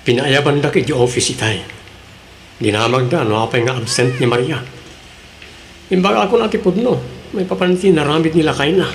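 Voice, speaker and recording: A man's voice: a little high, fairly quick, long pauses, close to an everyday voice. An actor with an Ilocano accent playing a friendly, helpful male coworker in a radio drama. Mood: concerned. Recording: noisy radio drama recording.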